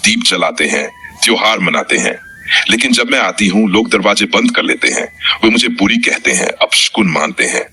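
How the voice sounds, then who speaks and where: A man voicing a sad, self-doubting younger sister, a dark new-moon spirit in a storybook tale. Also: fairly low pitch, expressive, normal speed, slightly rough, heavily acted. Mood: sad, hurt.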